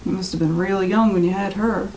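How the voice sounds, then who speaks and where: An actress playing a guarded, blunt rental guest, speaking tersely and naturally in an audio drama. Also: female voice, medium pitch, steady tone, a little fast, a little mellow, a little hiss or hum, lightly acted, rough recording. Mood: guarded curiosity.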